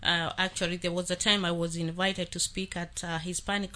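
A woman from Kenya, whose first language is Swahili, speaking as a guest on a radio talk show about her outreach work, earnest and halting with frequent fillers. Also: lowish voice, flat delivery, bright, forceful tone. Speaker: outreach worker, radio ministry host.

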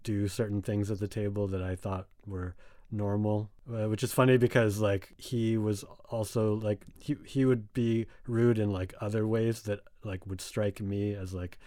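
A man's voice: fairly low pitch, little pitch movement, moderate pace, dark tone, dry recording, clean studio sound. A young man from the United States, a guest in a casual conversation, rambling with many fillers and restarts.

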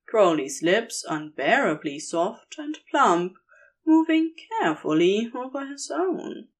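A woman narrator reads romantic fiction at a very slow, measured pace. Her voice sits at a medium pitch and moves with very lively, expressive intonation.

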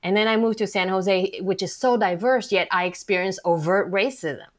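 A woman guest in an interview, talking in a reflective, measured way that often trails off. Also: medium pitch. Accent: Vietnamese. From Vietnam. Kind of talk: conversational interview.

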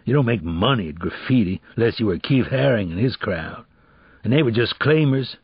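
A narrator, a man, plays a weary male ex-con in a crime audiobook. He is a troubled father, earnest and plainspoken, full of street slang. The voice is husky and the sound dry and a little dull. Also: fairly low pitch, expressive, normal speed, no room sound. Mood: sorrowful, earnest. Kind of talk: audiobook character dialogue.

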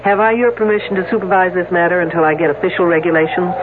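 A woman's voice: fairly low pitch, fast, phone-quality sound, close to an everyday voice. A woman playing a wry, unflappable, wisecracking lady detective in a 1970s radio drama. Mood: cool, businesslike. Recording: thin band-limited old radio, noisy.

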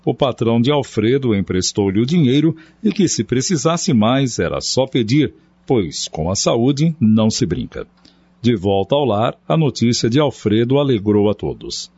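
Male narrator for radio drama: dark, husky, mid-pitched, story-telling read. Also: expressive, normal speed. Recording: dull lo-fi, faint music behind.

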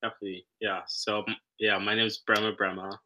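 A young man from Sudan, raised in Kenya, speaking as a podcast guest in a relaxed, halting way with many fillers. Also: lowish voice, low-quality recording. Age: young adult. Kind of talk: casual interview conversation.

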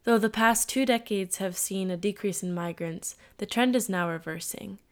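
A woman narrating a scripted documentary piece in a measured, even way. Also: mid-range voice. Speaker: narrator, host.

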